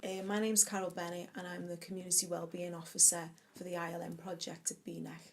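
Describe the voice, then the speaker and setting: A woman, a community wellbeing officer calmly explaining her aims in an interview. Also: on the low side, brisk, gravelly, slight background noise. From Liverpool, England. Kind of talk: interview answers to camera.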